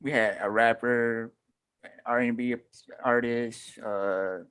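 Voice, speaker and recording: A man's voice: medium pitch, steady tone, slow, a bit bright, clean tone. A man co-hosting a casual podcast, rambling and filling gaps with "you know.". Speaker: podcast co-host. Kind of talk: casual chat.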